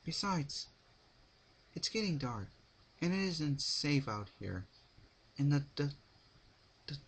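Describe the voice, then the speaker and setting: A man voicing a timid, nervous, gentle young female pony with a hesitant manner. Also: a little high, big pitch swings, takes it slowly, husky, pitched above the performer's own voice, full character voice. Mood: nervous, frightened.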